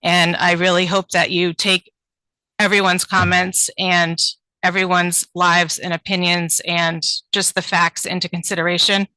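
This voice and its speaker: A woman's voice: fairly low pitch, little pitch movement, unhurried, sharp tone, no room sound, low-quality recording. A woman teacher reading a prepared public comment at a town board meeting, earnest and pleading, with frequent ums.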